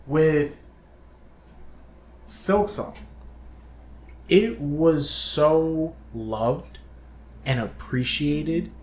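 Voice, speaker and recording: In an echoey room, a man co-hosts a casual chat about gaming. He speaks slowly at a medium pitch, with the eagerness of a fan. A faint noise sits behind his voice. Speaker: co-host of a video game talk show. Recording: roomy echo with background hiss.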